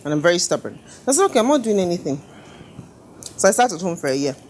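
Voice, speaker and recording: A middle-aged woman designer telling stories in a casual interview, lively and laughing often, doing little voices for her parents. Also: lowish voice, fast, a little hiss or hum. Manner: animated storyteller, laughs often, acts out quoted family voices. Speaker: fashion designer guest. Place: living room studio with sofas.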